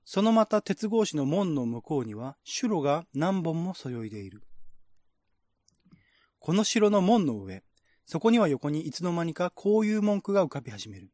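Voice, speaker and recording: A man's voice: on the high side, very animated, normal speed, darkish tone, no room sound, clean studio sound. A man reading scripted narration in a radio-drama style, as the narrator of a screenplay.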